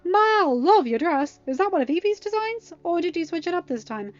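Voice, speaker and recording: A woman's voice: extremely high pitch, very animated, moderate pace, dry recording, dull audio, pitched above the performer's own voice. A woman voicing pushy, intrusive tabloid reporters in an audiobook, insistent and probing. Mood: eager, clamoring. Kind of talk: dramatized audiobook narration.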